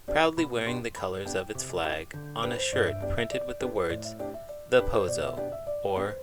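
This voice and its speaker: A man reading a children's book aloud in an expressive, emphatic, measured narrating style. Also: lowish voice. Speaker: children's book reader, teacher.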